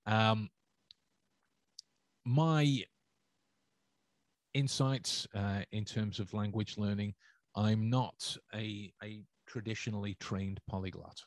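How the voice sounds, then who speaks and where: A man with a low voice hosts a solo podcast stream, reading chat messages and answering at length. He circles back often, restarting sentences and filling gaps with ums. The recording is close and dry, with no room sound. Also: steady tone, slow, pauses often, no echo. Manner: rambling, many restarts and fillers. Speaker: podcast host.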